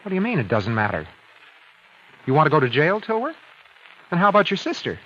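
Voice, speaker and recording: An actor playing a cold, self-satisfied murderer in a vintage radio drama, with a clipped, smug, impatient delivery. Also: male voice, a little high, huge pitch swings, brisk, bright, forceful tone, slight background noise, exaggerated voice. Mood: brisk, exasperated. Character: cold, self-satisfied man who got away with murder. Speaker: actor narrating in first person as the lead. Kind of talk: 1940s-50s radio acting. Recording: dull vintage radio recording with background music.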